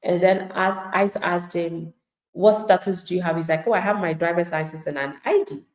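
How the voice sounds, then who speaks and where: A woman's voice: fairly low pitch, moderate pace, darkish tone, echoey room, rough recording. A woman immigration attorney giving live legal advice to viewers in an emphatic, plain-spoken way, acting out the replies of people she describes.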